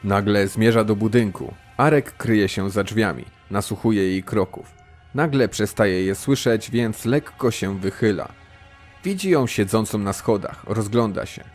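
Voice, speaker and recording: Male narrator, lowish pitch, scripted crime audiobook storytelling, music behind. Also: measured pace.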